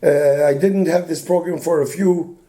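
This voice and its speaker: A hoarse older man gives a loose, story-filled rabbinic talk. His voice sits high for a man yet sounds dark and mellow. He often stops and restarts his sentences. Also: steady tone, normal speed. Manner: meandering, many restarts, mixes Yiddish and Hebrew terms. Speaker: rabbi giving a weekly talk. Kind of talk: informal Torah talk with stories.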